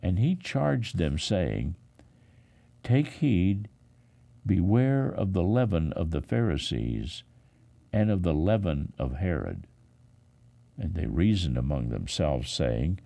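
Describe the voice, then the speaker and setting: A man reading scripture aloud in a solemn, expressive pastoral style, with measured, deliberate phrasing and frequent pauses. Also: sits low, gravelly.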